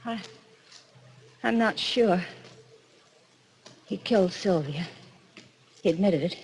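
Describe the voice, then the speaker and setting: A woman's voice: mid-range voice, slow, darkish tone, a little hiss or hum. An actress playing a frightened, earnest young woman in a 1940s radio drama, with an urgent, melodramatic delivery. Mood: fearful, urgent. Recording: vintage 1940s radio recording.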